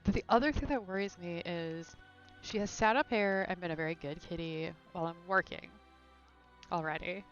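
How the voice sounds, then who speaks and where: A woman's voice: fairly low pitch, moderate pace, dull audio, soft background music. Chatty woman streaming a game. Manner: chatty, thinks aloud, rambling. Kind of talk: casual live gameplay chat. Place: room at home.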